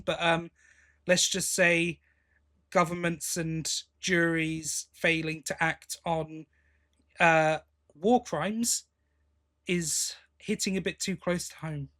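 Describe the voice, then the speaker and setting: A man co-hosting a podcast, giving a casual review in a halting way, thinking aloud as he goes. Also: fairly high pitch, steady tone, fairly bright tone. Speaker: podcast co-host.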